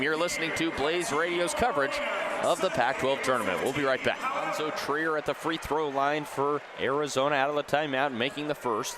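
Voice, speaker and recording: A young American man calling live basketball play-by-play on radio with a projected, energetic delivery. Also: on the high side. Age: young adult. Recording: noisy arena with crowd behind. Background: basketball crowd and bouncing balls.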